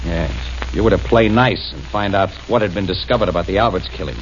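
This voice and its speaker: Guy doing a hotheaded press photographer, lowish voice, old radio sound with a little hiss. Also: natural voice. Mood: scornful. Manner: brash, quick to anger. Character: hot-tempered man, crime photographer. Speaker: news photographer. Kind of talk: 1940s radio acting. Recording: dull old radio broadcast with faint hiss.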